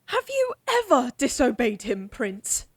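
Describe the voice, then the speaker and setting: A woman voicing a defiant young noblewoman with a high, bright-pitched voice. She speaks sharply and pushes back hard against a prince. The tone is quick-witted and challenging. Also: huge pitch swings, heavily acted. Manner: sharp, challenging, flustered when caught. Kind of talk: fantasy audio drama acting.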